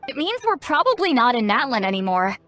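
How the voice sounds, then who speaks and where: High-voiced cocky young shaman. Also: big pitch swings, fairly quick, fairly bright tone. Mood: frustrated, brisk. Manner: self-assured, brusque, explanatory. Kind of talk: animated video game voice acting.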